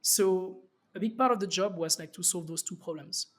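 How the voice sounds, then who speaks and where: A man's voice: sits high, moderate pace. A man from France with a French accent, a startup founder talking loosely as an interview guest with many fillers and restarts. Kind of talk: casual interview talk.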